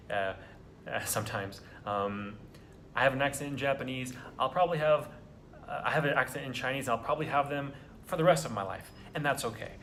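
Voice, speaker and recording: A man's voice: mid-range voice, a bit bright. A young man from the US talking earnestly to camera in a casual vlog, encouraging viewers with frequent ums and restarts. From United States. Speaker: vlogger.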